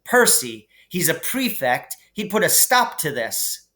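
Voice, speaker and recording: A man's voice: a little high, big pitch swings, takes it slowly, bright, forceful tone, pitched above the performer's own voice, exaggerated voice. A man voicing a bossy, disapproving schoolgirl in a prim, scolding storybook read-aloud.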